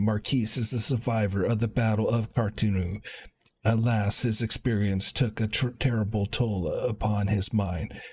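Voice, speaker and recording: Man acting fantasy game caretaker, fatherly, kindly, formal, husky, lowish voice. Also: darkish tone. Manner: formal, old-fashioned wording, halting reading.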